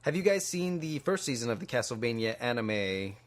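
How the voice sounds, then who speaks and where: A man's voice: medium pitch, big pitch swings, fairly quick. A man co-hosting a podcast, chatting casually about pop culture in an enthusiastic, somewhat hesitant way. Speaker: podcast co-host. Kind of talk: casual chat about video games and films.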